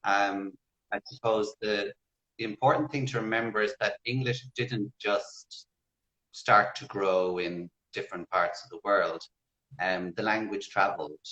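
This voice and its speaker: A man with an Irish accent answering in a casual interview, thoughtful and hesitant, pausing to rephrase. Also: on the low side, fairly even intonation, bright, forceful tone. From Ireland. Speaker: guest, English teacher. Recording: roomy, slightly dull sound.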